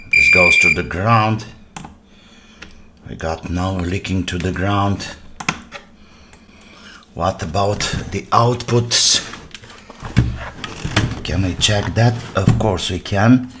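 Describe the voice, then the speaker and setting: A man's voice: low-pitched, slow, long pauses. A man giving casual hands-on commentary as a repair video host, talking to himself in short phrases and asking and answering his own questions. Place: indoor workbench. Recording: clear background noise with workbench clatter.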